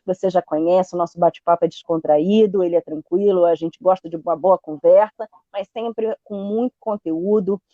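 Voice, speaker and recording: A woman's voice: lowish voice, steady tone, normal speed, hardly any pauses, no room sound, highs cut off. A Brazilian woman hosting a live video chat, talking on with frequent fillers like "né" and "portanto". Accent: Brazilian Portuguese. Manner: repetitive, warm-up style, many fillers like "né" and "portanto". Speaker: podcast host.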